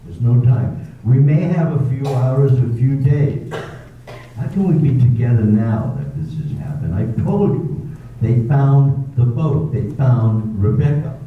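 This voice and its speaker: A man's voice: mid-range voice, unhurried, lightly acted. A man in an amateur stage reading playing a tormented husband confessing a killing, in 1930s-style radio drama acting. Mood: grim. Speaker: amateur theater actor. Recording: distant, echoey, tinny phone-like sound with faint noise.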